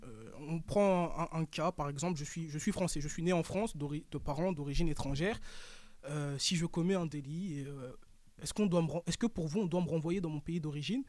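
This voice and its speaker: A man's voice: fairly high pitch, fairly quick, a little mellow. A man moderating a debate, questioning guests and pushing back on their answers. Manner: pushes back, repeats points.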